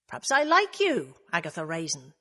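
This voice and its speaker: A woman voicing a calm, kindly young male detective who gives steady advice. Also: on the low side, moderate pace, heavily acted. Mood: wry.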